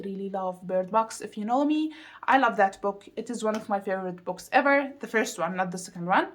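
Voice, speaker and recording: A woman's voice: fairly high pitch, fairly bright tone. A woman speaking casually to viewers as a vlogging reviewer, emphatic and repeating words for stress. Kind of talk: casual chatty video monologue to viewers.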